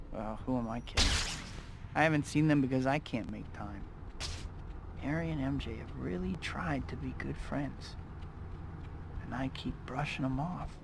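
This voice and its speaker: A man's voice: mid-range voice, measured pace, gravelly, long pauses, background noise. A young American man playing a wisecracking, well-meaning superhero in a video game, with a boyish, self-mocking manner. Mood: regretful. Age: young adult. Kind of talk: video game cutscene acting.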